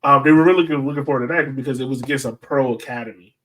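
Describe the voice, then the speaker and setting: A man's voice: medium pitch, fairly even intonation, rapid-fire, fairly bright tone. An American man co-hosting a sports podcast in casual, informal talk peppered with "like". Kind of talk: casual chat. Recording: voice distant from the microphone.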